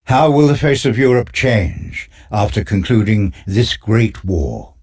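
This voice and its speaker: Deep husky guy, dramatic war narration, voice rises and falls a lot. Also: very animated, unhurried, a little mellow. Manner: solemn, dramatic. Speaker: game intro narrator. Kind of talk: scripted documentary-style narration.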